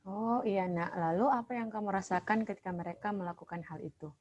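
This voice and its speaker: A woman playing a counselor in a role-play, calm and gently probing over an online call. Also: medium pitch, brisk. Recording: dull lo-fi online call with faint noise.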